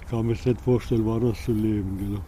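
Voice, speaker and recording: A middle-aged or older man from rural Hesse answers casually and haltingly, a plain-spoken local breaking off and restarting his sentences. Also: on the low side, fairly even intonation, dark tone. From rural Hesse, Germany. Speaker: local resident being interviewed. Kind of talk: casual spontaneous interview answers. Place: outdoors in a quiet rural village. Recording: outdoor interview with faint ambient noise.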